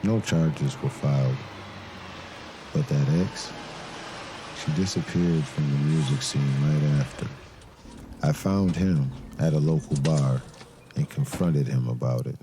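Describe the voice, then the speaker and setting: An actor playing a brooding, suspicious detective who narrates a noir crime drama in a grave, deliberate manner. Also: male voice, extremely low pitch, background noise. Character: brooding male detective, terse, suspicious and methodical. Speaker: narrator and lead character of an audio crime drama.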